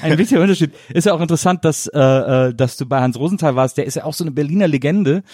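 A man's voice: on the high side, fast, a little mellow, no room sound. Wordy male podcast host, dry sound. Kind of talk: casual interview, chatty introduction.

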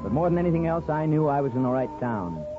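A man's voice: on the high side, lively intonation, quick pace, natural voice. An actor playing an earnest, folksy small-town barber and newlywed husband who also narrates his story in a 1950s radio drama. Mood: fond, nostalgic. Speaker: actor and first-person narrator. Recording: dull 1950s radio recording with music.

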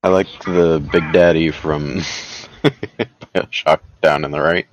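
Male podcast co-host, deep voice, informal and tentative, thinking out loud. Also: fairly even intonation, slow, no echo, muffled recording. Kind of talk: casual chat.

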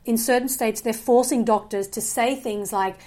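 A woman's voice: fairly high pitch, steady tone, moderate pace, mellow. A woman, talking earnestly as a guest in a podcast interview, with many fillers and restarts. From Australia. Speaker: guest filmmaker.

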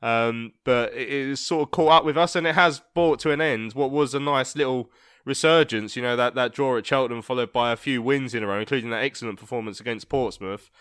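A man's voice: medium pitch, fairly quick, a bit bright, clear, resonant tone, no room sound, clean studio sound. A man hosting a casual podcast about a football club, chatting in a rambling way with plenty of fillers. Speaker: podcast host. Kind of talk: casual fan podcast chat.

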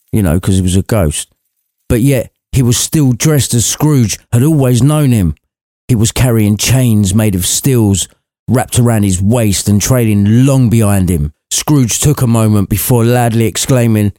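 A man's voice: on the low side, mellow, dry recording, studio quality. A man narrating a scripted comic story in a wry, irreverent manner.

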